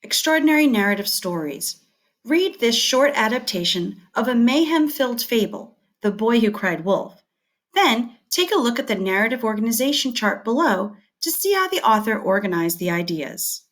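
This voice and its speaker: A woman teacher reading scripted educational material aloud in a clear, deliberate and expressive way. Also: fairly high pitch.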